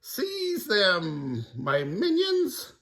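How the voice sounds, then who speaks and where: A man voicing a wrathful ancient mummy king who hisses in a high voice. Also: wildly swooping pitch, very slow, a bit bright, full character voice. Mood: menacing. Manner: hissing, high-pitched.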